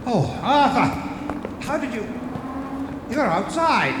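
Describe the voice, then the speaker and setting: An older lighthouse keeper, stubborn and easily rattled. His high-set voice is slow and halting, turning insistent. It is radio drama from a cassette, with long, hollow reverb. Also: very animated, noisy background, full character voice. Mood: frightened.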